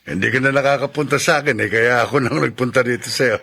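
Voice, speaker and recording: A breezy Filipino brother-in-law in a radio play. His voice is gravelly and sharp as he coaxes in a familiar, pushy way. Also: medium pitch, quick pace, full character voice. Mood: breezy, coaxing. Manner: familiar, coaxing. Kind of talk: radio drama acting.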